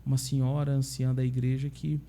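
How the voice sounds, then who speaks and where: A man, a pastor with a Brazilian accent, telling stories in a relaxed conversation and often checking in with tag questions. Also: mid-range voice, fairly quick, a little hiss or hum. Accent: Brazilian Portuguese. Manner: chatty storyteller, frequent tag questions. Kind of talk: casual podcast conversation.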